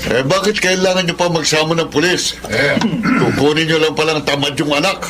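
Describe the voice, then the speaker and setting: A man's voice: on the high side, a little fast, over loud music, natural voice. A man with a Filipino accent playing a cruel, impatient employer in a radio drama, bossy, curt and intimidating. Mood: defensive, scornful. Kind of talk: Tagalog radio drama acting.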